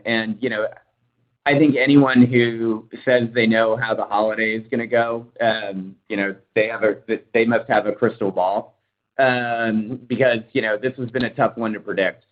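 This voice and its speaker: A man speaking as a company executive on an earnings call, answering in measured corporate speak with frequent "you know" asides. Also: medium pitch. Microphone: conference call line. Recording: dull, lo-fi conference call.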